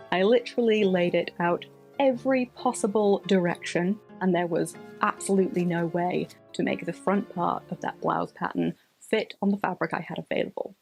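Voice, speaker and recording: A woman narrating a scripted sewing vlog voice-over in an expressive way, with theatrical emphasis. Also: fairly low pitch. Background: background music.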